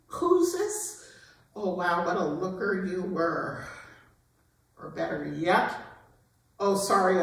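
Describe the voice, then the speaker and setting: A woman acting a world-weary, wry veteran actress, reciting theatrically with dramatic pauses. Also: mid-range voice. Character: brassy, world-weary veteran showbiz actress, proud survivor. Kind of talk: theatrical recitation of show-tune lyrics. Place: performance hall, recorded from a distance. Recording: very echoey hall, distant microphone.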